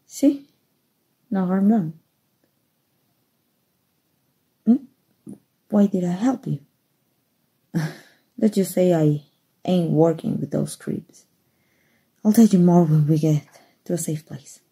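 Woman acting low, breathy monster-world rescuer. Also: takes it slowly, long pauses, dark tone, exaggerated voice. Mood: reassuring. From Mexico. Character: kind human rescuer, gruff-talking, reassuring undercover rebel. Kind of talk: ASMR roleplay acting.

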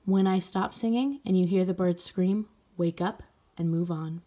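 A woman voicing a gentle, solemn aunt who guides a child in a storybook, soft and measured. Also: lowish voice, lightly acted. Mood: soft, instructive.

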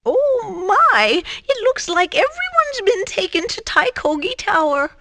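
A woman's voice: extremely high pitch, big pitch swings, unhurried, sharp tone, no room sound, full character voice. A woman playing an elderly, fretful seer in a cartoon video game, theatrical and full of foreboding.

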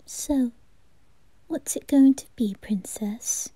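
Woman voicing a breathy, haughty young lord. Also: high voice, slow pace, dark tone, no room sound, full character voice. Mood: smug, taunting. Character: haughty, bratty teenage aristocrat boy, secretly smitten. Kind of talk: anime roleplay ASMR.

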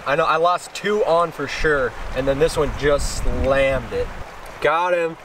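A man's voice: on the high side, a little slow. A man in his twenties chatting casually in a laid-back, slangy way, vlogging outdoors into a handheld camera. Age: 20s. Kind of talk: casual outdoor vlog chat. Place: outdoors on a riverbank by a boat. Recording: clear outdoor background noise, boat and water.

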